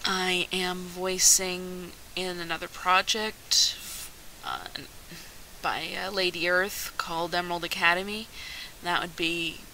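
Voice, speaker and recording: Casting: woman, lowish pitch, crisp forward tone, casual interview chat, slight hiss on the recording. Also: little pitch movement, takes it slowly, pushed and bright, poor audio. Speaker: cast member asking questions in group interview. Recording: faint hiss behind the voice.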